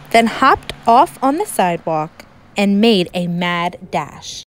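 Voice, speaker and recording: Lady teacher doing a kids book read aloud, super expressive up and down voice, slow and emphatic. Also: a little high, slight background noise. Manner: expressive, emphatic, dramatic pauses. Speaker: teacher reading aloud to children. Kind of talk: animated children's storybook read-aloud.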